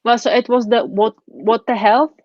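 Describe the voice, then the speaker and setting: A woman's voice: a little high, normal speed, no room sound. Czech-accented young woman on a dull call line. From Czech Republic. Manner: relaxed, frank, slightly non-native grammar. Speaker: guest blogger. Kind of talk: casual interview answers. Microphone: earbuds on a video call.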